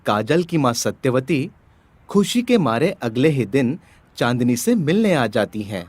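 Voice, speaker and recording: Guy narrating a story, raspy mid voice, really animated intonation. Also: medium pitch, unhurried, dry recording.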